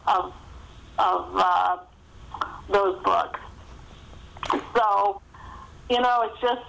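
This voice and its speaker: A woman's voice: mid-range voice, vocal fry, fairly bright tone, poor audio. A middle-aged woman talking conversationally as an author in an interview, chatty and anecdotal, often saying "you know".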